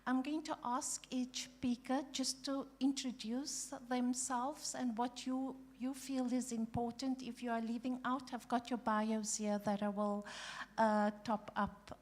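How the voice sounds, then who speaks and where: A woman chairing a conference panel, speaking haltingly with many restarts and fillers. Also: high-pitched. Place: conference hall.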